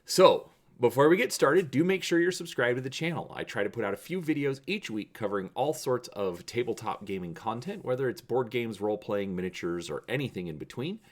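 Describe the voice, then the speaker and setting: A man presenting as a channel host and narrating an adventure story with expressive, theatrical emphasis. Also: mid-range voice, fairly quick, few pauses. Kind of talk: dramatic storybook reading and presenting.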